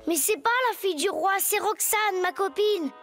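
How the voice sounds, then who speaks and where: A brave, excitable young cartoon boy, earnest and full of gallantry. The voice is extremely high, with clear music underneath. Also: normal speed. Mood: protesting. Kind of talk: French animated series acting.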